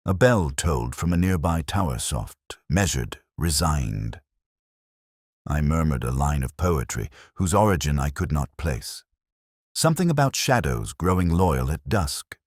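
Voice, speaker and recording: Casting: male actor for weary detective narrator, extremely low voice, solemn and measured yet with lively rises and falls, mystery audio drama. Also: breathy edge, dry recording, clean studio sound, full character voice. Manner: measured, solemn, literary delivery. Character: world-weary, reflective male English police detective narrator. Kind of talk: scripted first-person dramatic narration.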